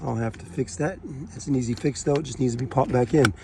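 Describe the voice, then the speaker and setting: A man with a sore throat and a raspy voice, giving a casual, rambling walkaround tour of a car for sale on a dealership lot. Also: mid-range voice, quick pace, dark tone, a little hiss or hum. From South Carolina. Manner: rambling and chatty, many fillers and asides.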